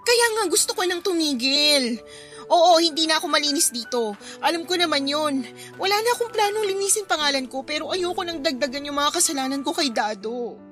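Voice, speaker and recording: A frustrated, guilt-ridden wife in a radio drama. Her voice is high and forceful, with an emphatic, reproachful delivery. Soft music runs underneath. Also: lively intonation, brisk. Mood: remorseful, firm. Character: frustrated, ambitious, guilt-ridden wife and mother of modest means. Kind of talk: Filipino radio drama acting.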